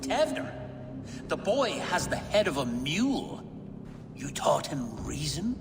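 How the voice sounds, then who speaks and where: An actor playing a proud, scheming older chieftain in a video game, theatrical and measured, with a wry edge. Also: male voice, fairly high pitch, sing-song. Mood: wry, urgent. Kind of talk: dramatic video game acting. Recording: long reverb, music behind.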